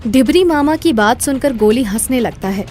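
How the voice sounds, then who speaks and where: A woman's voice: fairly high pitch, animated, normal speed, a little mellow. A woman narrator reading a scripted moral story.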